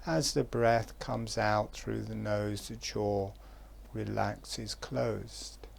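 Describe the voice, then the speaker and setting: A man giving guided relaxation instructions in a soft, calm voice, with long pauses between phrases. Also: on the low side, gravelly, slight background noise.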